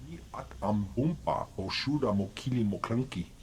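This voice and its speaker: A man's voice: lowish voice, lively intonation, normal speed, a little hiss or hum, close to an everyday voice. A man acting a brusque alien who speaks an invented tongue in a video game.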